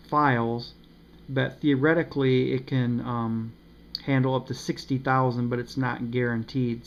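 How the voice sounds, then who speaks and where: A man giving a casual, unscripted review of a radio, pausing often with ums and uhs. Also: medium pitch. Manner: hesitant, many ums and uhs. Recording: slightly dull with faint noise.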